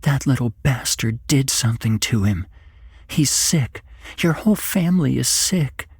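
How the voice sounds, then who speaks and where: Man acting a bitter grieving mother, raised breathy voice. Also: mid-range voice, lively intonation, a little slow, no room sound, voice pushed up. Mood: bitter, anguished. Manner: tearful, venomous accusing. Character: grief-stricken, hateful mother, bitterly accusing.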